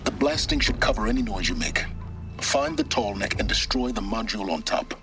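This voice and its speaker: A man's voice: medium pitch, huge pitch swings, fairly quick, vocal fry. An actor voicing a secretive, commanding male guide in a game, giving curt instructions. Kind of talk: game voice acting.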